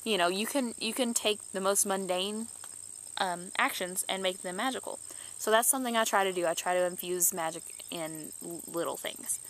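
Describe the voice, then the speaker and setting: Young woman with allergies, stuffed-up voice, mid pitch, casual rambling vlogger. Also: moderate pace, fairly bright tone. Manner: rambling, many restarts and fillers. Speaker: YouTuber. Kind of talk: casual chat to camera.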